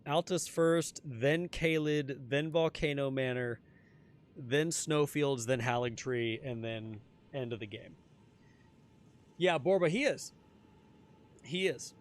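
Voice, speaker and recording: Slightly hoarse male streamer. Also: medium pitch, slow pace, pauses often. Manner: chatty, answers chat, repeats phrases. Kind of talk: casual live-stream chat while gaming.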